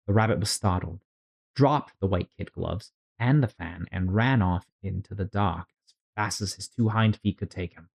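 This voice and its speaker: A storyteller reading a children's classic aloud as expressive narration for a read-along. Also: low voice, moderate pace, gravelly, slightly rough, low-quality recording.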